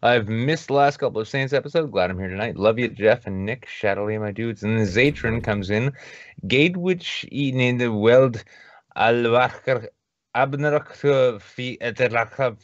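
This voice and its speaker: A man co-hosting a podcast, talking in a mocking, animated way and laughing often. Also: mid-range voice, unhurried, no room sound, lo-fi sound. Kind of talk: casual podcast chat.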